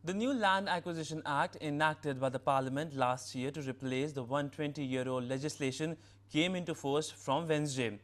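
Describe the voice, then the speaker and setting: An Indian-accented man anchors a news bulletin from a script. His voice is pitched somewhat higher than most men's. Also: moderate pace. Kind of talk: scripted newsreading.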